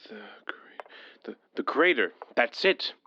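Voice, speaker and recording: An actor playing a shaken husband in an audio drama, stubbornly insisting in halting phrases that all is fine. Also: male voice, mid-range voice, big pitch swings, bright, forceful tone, in a whisper, highs cut off, exaggerated voice. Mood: anxious, insistent. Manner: halting, breathy sighs, insistent. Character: shaken but stubbornly dismissive husband, alien invasion survivor. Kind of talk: modern audio drama acting.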